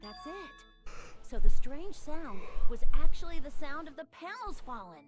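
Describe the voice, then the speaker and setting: An actress playing a sharp, earnest teenage girl who reasons through a case in an anime game dub. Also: high-pitched, sing-song, unhurried. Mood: reasoning, firm. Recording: game music behind the voice.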